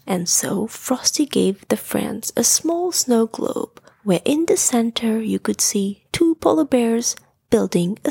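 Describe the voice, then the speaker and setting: A woman narrates a children's bedtime story in a fairly high voice with husky, creaky edges. She reads slowly, and the recording is close and dry. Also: a little mellow, no echo.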